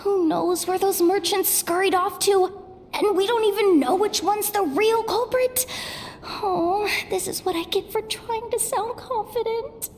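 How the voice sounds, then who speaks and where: A woman's voice: extremely high pitch, a little mellow. A woman voicing a sardonic young female investigator in an English game dub, talking in a wry, grumbling way. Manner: wry, grumbling, slow-spoken. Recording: faint background noise.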